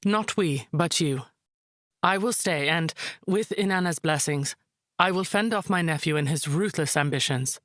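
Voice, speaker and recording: A woman playing a proud, resolute high priestess, formal and composed, in a visual novel drama. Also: normal speed, fairly bright tone, dry recording, clean studio sound. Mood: urgent, commanding.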